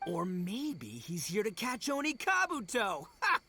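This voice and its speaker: Brash, bright-voiced young oni. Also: male voice, high-pitched, wildly swooping pitch, unhurried, faint music behind, exaggerated voice. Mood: excited. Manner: boastful, brotherly, slangy. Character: brash, boastful young male oni, big-hearted show-off. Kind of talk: video game voice acting.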